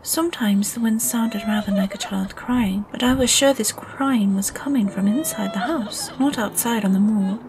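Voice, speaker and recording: A woman's voice: mid-range voice, normal speed, hardly any pauses, background noise, natural voice. An actress playing a sour, curious young girl who narrates her own story, earnest and full of questions. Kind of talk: audio drama narration and dialogue.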